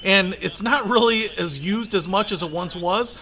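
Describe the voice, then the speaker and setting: A man's voice: high voice, moderate pace, sharp tone. A man giving a casual, enthusiastic hands-on product review in everyday colloquial language.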